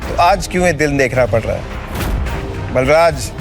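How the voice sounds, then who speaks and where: A man's voice: mid-range voice, lively intonation, measured pace, music in the background. A man playing a vengeful, secretly powerful man in an audio drama, taunting and self-assured. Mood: cold menace. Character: vengeful, secretly powerful man, once scorned husband, coolly mocking. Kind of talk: Hindi audio drama acting.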